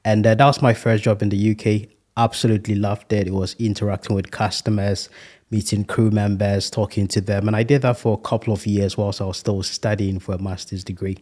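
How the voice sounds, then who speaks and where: A man's voice: on the low side, little pitch movement, brisk, a little mellow. A man in his thirties from Ghana, a guest on an interview podcast, talking in an earnest, reflective way with a few fillers. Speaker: guest, restaurant franchise owner.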